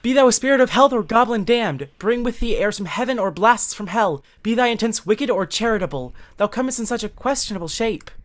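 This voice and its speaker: A woman's voice: on the high side, animated, fairly quick, few pauses, voice pushed up, close to an everyday voice. A woman playing a loyal, anxious young scholar who speaks deferentially in archaic Shakespearean English on a role-play podcast. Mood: alarmed.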